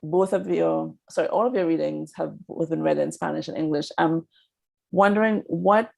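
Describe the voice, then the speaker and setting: A woman's voice: low-pitched, moderate pace, gravelly, darkish tone. A woman moderating a panel discussion, polite and attentive, thanking guests and asking questions.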